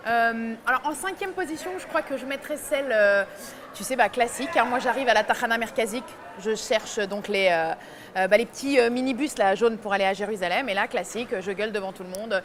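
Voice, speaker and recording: A young woman with a bright, high voice tells comic stories on a talk-show panel, laughing as she goes. Her delivery is animated and chatty. A faint hiss sits under the sound. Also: a little fast. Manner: lively storytelling, laughing often. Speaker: columnist.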